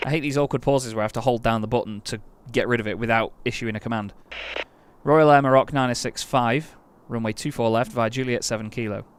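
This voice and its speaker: A man's voice: medium pitch, steady tone, brisk, smooth. A man playing an air traffic control game, chatting casually while reading out call signs and getting exasperated when commands fail. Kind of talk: casual gameplay commentary with radio calls.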